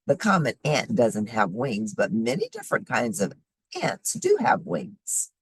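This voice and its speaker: A woman reading a children's nonfiction book aloud in a deliberate, explanatory way, emphasizing key words. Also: a bass voice, huge pitch swings, gravelly. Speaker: host reading children's science books aloud.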